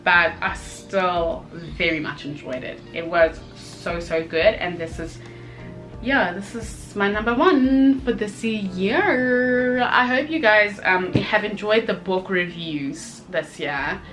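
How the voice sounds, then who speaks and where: A young woman chatting casually in a book review video, expressive and given to emphatic repetition. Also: mid-range voice, slow, soft background music.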